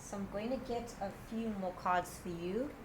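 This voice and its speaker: A woman giving a casual tarot reading to her viewers, chatty and earnest, her voice a little raspy. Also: mid-range voice, normal speed, noisy background. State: slightly raspy voice. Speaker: tarot reader.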